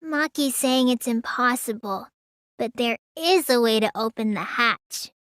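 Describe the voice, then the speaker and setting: A woman voicing a small, childlike teenage girl mage in a video game, high and girlish, sulky and excitable with a whiny edge. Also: a little slow, no room sound, clean studio sound. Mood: earnest, reasoning. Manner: whiny, stammers when startled. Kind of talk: English game dub voice lines.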